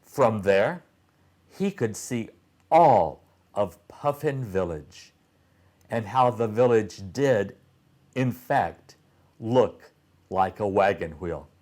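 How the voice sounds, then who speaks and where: A man reading a children's storybook aloud as a storyteller, with careful, deliberate phrasing. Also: lowish voice, animated, darkish tone.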